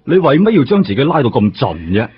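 An actor playing a world-weary veteran police detective in a radio drama, with a Hong Kong Cantonese accent and a drawling, resigned, defensive manner. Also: male voice, mid-range voice, big pitch swings, fast talker, breathy edge. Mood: questioning. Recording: muffled radio drama recording. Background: music.

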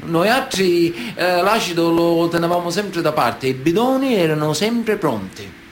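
A chilling Sicilian mafioso in radio drama: Sicilian accent, fairly high male voice, widely swinging intonation, matter-of-fact storytelling. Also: lively intonation, a little slow, slight background noise. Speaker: actor in radio theatre.

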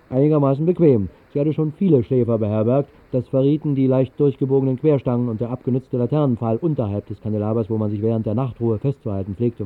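An actor playing a wry vagrant poet, narrating in a dry, measured way in a 1960s literary radio drama. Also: male voice, medium pitch, lightly acted. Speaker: first-person narrator. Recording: thin, phone-like old radio recording.

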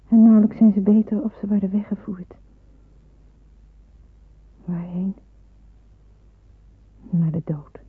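An actress playing an anguished, dutiful and fearful young nurse in a Dutch radio play, hesitant and brooding, with a breathy, husky voice. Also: female voice, medium pitch. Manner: hesitant, brooding, self-questioning. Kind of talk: Dutch radio play acting, inner monologue. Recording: muffled tinny old radio recording.

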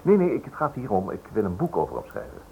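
Radio play writer: inquisitive, hesitant, slightly low, animated intonation, on an old noisy recording. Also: male voice, quick pace, gravelly, slightly rough, dull audio, exaggerated voice. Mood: earnest. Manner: hesitant, polite, stammering over words. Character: inquisitive, hesitant writer probing a criminal case. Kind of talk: Dutch radio drama acting.

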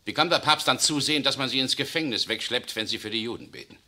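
A man acting in a 1960s radio play as a tense German SS officer who secretly resists, speaking urgently, swinging between outbursts and hushed confession. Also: mid-range voice, fast. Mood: indignant. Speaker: radio drama actor.

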